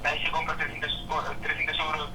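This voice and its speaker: A man's voice: on the high side, completely flat delivery, fast talker, bright, forceful tone. A man with an accent from Spain in a casual phone conversation, colloquial and repeating words, on a noisy, distant line. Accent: Spanish (Spain). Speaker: prank call victim. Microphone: telephone.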